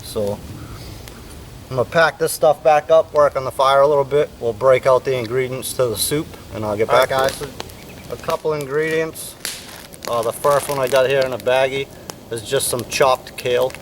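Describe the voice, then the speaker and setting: A man from the United States giving a relaxed, rambling outdoor cooking demonstration with many fillers. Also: mid-range voice, normal speed. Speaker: bushcraft instructor. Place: outdoors at a campfire. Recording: distant mic, faint outdoor noise.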